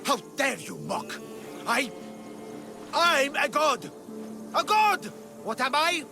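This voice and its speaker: Wild self-styled god, super high voice, swings all over, long reverb, music behind. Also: male voice, sing-song, slow, creaky, full character voice. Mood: manic. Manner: grandiose, theatrical, manic. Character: eccentric man who claims to be a god. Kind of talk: video game cutscene acting. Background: orchestral game music.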